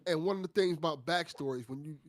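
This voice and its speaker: Higher-voiced man, dry casual co-host. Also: fast talker, no room sound. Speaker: after-show co-host. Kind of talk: casual discussion and commentary.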